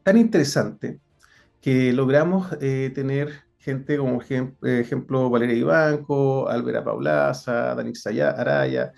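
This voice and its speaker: A middle-aged Chilean man speaks with a gravelly edge at an ordinary pitch for a man. He tells his account earnestly, restarting phrases and filling gaps with hesitations. Faint music sits behind him. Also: animated, unhurried, a little mellow. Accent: Chilean Spanish. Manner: frequent hesitations and self-corrections, earnest. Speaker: guest, former police investigator. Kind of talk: interview, personal account.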